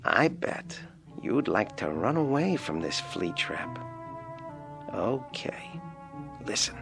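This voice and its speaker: Wisecracking evil puppet for radio drama: conspiratorial, slangy, fry-laden and very animated. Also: male voice, on the low side, slow, over music, exaggerated voice. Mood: conspiratorial coaxing. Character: sly, wisecracking wooden ventriloquist's dummy, small-time crook.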